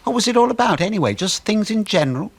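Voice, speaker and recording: An actor in a radio play voicing a cheeky, breezy middle-aged British suburban husband who teases and muses like a know-all. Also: male voice, high-pitched, very animated, quick pace, heavily acted. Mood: teasing, needling. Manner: breezy, teasing, musing.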